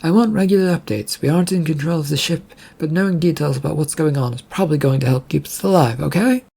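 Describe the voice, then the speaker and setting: Man voicing a brisk, husky ship's captain. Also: fairly high pitch, mellow. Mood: commanding.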